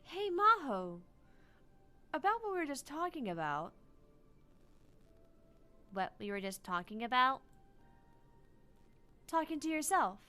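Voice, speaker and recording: A woman reading character dialogue aloud from a visual novel in an expressive style. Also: high-pitched, slow pace, long pauses, faint music behind. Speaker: let's play co-host voicing character dialogue.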